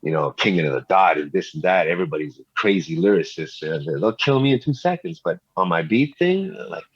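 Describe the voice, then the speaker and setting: A middle-aged man from Montreal with a very deep, husky voice tells his story in a relaxed way. His talk is loose and full of slang and fillers like "you know." The recording sounds a little dull and lo-fi. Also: brisk. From Montreal, Canada. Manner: laid-back street slang, many fillers like "you know". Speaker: rapper and music producer, guest. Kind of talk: casual interview storytelling.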